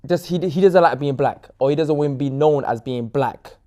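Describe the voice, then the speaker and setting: A man's voice: fairly high pitch, moderate pace, mellow. A man answering interview questions in casual conversation, earnest and outspoken. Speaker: guest.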